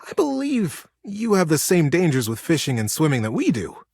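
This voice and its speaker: A man's voice: a little high, huge pitch swings, moderate pace, gravelly, a little mellow, breathy edge, dry recording, studio quality, voice pushed up, exaggerated voice. A man voicing a jubilant, idealistic young male beaver builder in a storybook tale, with an eager, effusive, grandiose manner. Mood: eager, proud.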